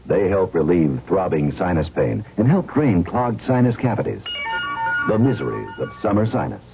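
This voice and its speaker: A man's voice: low-pitched, sing-song, normal speed, creaky, faint music behind. A man announcing a scripted 1970s radio advertisement. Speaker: commercial announcer. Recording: dull lo-fi 1970s radio recording.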